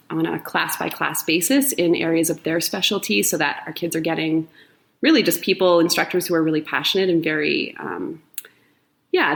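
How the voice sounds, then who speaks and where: A middle-aged woman, a high school drama teacher, talking warmly and chattily about her program with frequent ums. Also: gravelly. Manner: chatty, enthusiastic, lots of ums. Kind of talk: casual podcast conversation.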